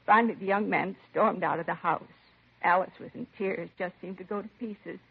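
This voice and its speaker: A stoic middle aged mom in an old 50s radio cop show, husky voice, tinny narrow sound. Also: female voice, fairly low pitch, brisk. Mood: distressed. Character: composed middle-aged mother of a slain son, stoic. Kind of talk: 1950s radio crime drama acting.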